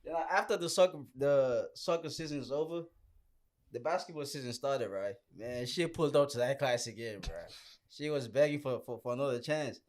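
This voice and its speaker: A young man with a medium-pitched voice talks casually among friends. He tells stories in an animated, slangy way, peppered with bro. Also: fairly quick. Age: young adult. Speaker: podcast participant. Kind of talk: casual group chat, animated storytelling.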